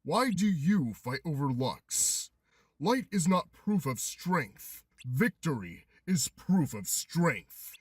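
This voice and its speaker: Man voicing a slow, imperious warlord master. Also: fairly high pitch, big pitch swings, slightly rough, dry recording, exaggerated voice. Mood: stern, challenging. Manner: slow, solemn, commanding. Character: ruthless, imperious male Keyblade master, power-hungry warlord. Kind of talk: anime-style game fandub acting.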